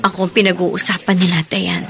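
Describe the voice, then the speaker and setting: An actress playing a grieving, guilt-ridden young mother in a radio drama, speaking quietly and resigned. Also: lowish voice, little pitch movement, fairly quick, vocal fry. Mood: sorrowful.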